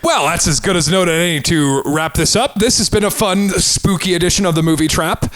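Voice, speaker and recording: A man's voice: high voice, brisk, fairly bright tone. A man co-hosting a podcast in casual chat, with a jokey, teasing way of talking. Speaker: podcast co-host.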